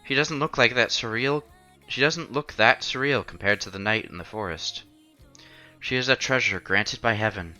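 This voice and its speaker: A man's voice: mid-range voice, moderate pace, bright, forceful tone, soft background music. A man narrating a visual novel aloud as a streamer, expressively and acting out the dialogue.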